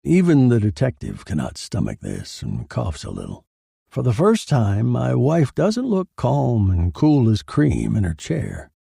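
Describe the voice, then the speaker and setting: A man playing a murdered man who narrates his own noir horror tale, bitter, detached and deliberate. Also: medium pitch, wildly swooping pitch, gravelly, mellow, slightly rough, no echo, studio quality, heavily acted. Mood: brooding. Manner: brooding, deliberate, dramatic. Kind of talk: scripted first-person noir horror narration.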